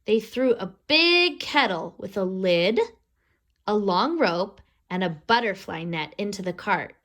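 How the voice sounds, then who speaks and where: A woman reading a children's storybook aloud expressively, pausing to explain words and pictures to young listeners. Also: medium pitch, animated, a bit bright.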